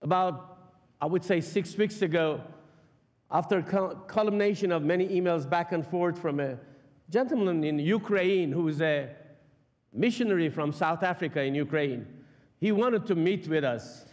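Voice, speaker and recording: A man's voice: on the high side, moderate pace, a little mellow. A middle-aged man from Iran whose first language is Persian, preaching a sermon in an impassioned, emphatic way and leaning hard on weighty words. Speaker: guest preacher. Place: large church sanctuary stage. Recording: long reverb of a church hall.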